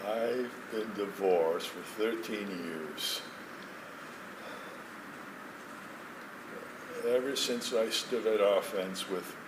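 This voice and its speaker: An actor on stage playing an elderly, regretful man with a tender longing for lost love, speaking in a halting, emotional way. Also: fairly low pitch. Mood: subdued, sorrowful. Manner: halting, emotional, repeating words. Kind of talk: stage play acting. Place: theatre stage, distant mic. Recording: echoey stage from far off, noisy.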